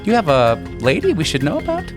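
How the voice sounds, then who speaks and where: A man playing a brisk, teasing, stressed young woman who works as a federal agent. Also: fairly high pitch, huge pitch swings, music in the background, pitched above the performer's own voice.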